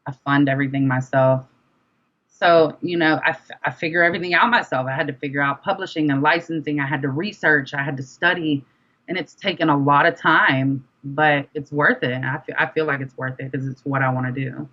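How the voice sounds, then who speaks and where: Low, bright-voiced woman on dull audio. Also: steady tone, brisk. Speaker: guest, independent music artist. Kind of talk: casual interview chat. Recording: dull, lo-fi sound.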